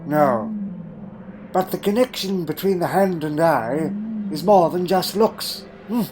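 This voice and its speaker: High-voiced, haunted old time traveller, acted by a man. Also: measured pace, a little mellow, soft background music. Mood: grave, insistent. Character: elderly male alien time traveller, haunted and secretive. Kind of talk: fan audio drama acting.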